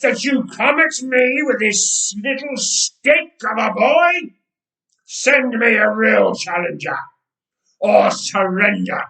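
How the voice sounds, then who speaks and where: A man's voice: sits high, slow, creaky, bright, forceful tone, voice pushed up, full character voice. A man voicing a huge, scornful giant warrior from a storybook, drawling and snarling with menace. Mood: roaring fury. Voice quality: snarling, roaring. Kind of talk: dramatic storybook reading. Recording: echoey room, distant from mic.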